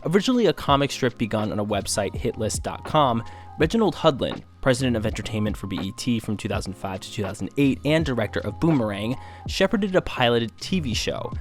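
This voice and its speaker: A man reading scripted documentary narration in a measured, formal, informative manner. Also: mid-range voice, expressive. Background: faint music.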